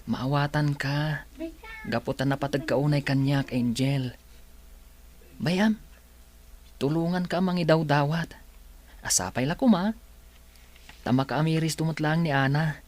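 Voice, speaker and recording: An actor with a Filipino accent playing a kind, sympathetic young male friend in a radio drama, gentle and earnest. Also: fairly high pitch, unhurried, pauses often.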